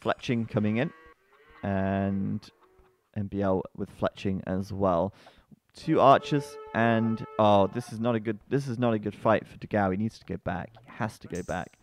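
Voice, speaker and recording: A man casting a strategy game live, his voice sitting fairly low and sounding bassy. He talks in a loose, chatty stream with plenty of fillers, then jumps up into loud excited cries when a fight goes wrong. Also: normal speed. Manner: chatty, breaks into excited outbursts. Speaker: esports commentator.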